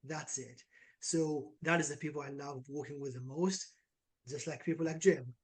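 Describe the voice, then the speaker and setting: A man's voice: a little high, moderate pace, slightly rough. A man in his twenties from Israel, an online fitness coach talking casually and energetically in an interview. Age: 20s. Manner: energetic, uses many "like" and "right" tags.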